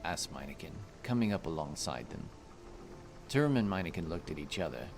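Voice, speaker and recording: Deep-voiced male fantasy narrator. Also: big pitch swings, fairly quick, creaky, noisy background. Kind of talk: scripted fantasy story narration.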